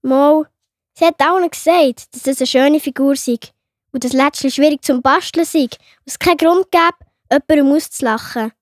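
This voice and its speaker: A woman's voice: high voice, moderate pace, dry recording, clean studio sound, close to an everyday voice. A woman playing a sensitive young schoolgirl in a children's audio drama, childlike, with a Swiss German accent. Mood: hurt, sulky. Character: sensitive young schoolgirl, easily hurt, trusting daughter.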